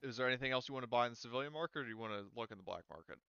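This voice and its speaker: A man's voice: medium pitch, very fast, sharp tone, clear, resonant tone, dry recording, dull audio. A man casually running a tabletop game as game master, patiently explaining steps to players.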